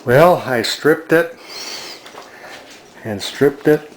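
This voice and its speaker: An older man casually narrating a hands-on project in a halting, plainspoken, matter-of-fact way. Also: a little high, slight background noise, low-quality recording. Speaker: antique restoration hobbyist demonstrating a refinishing project.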